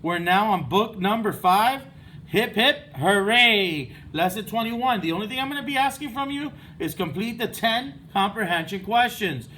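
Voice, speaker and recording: High-pitched man reading aloud to children. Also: expressive, moderate pace, pushed and bright, a little hiss or hum. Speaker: first-grade teacher. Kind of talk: children's read-aloud narration.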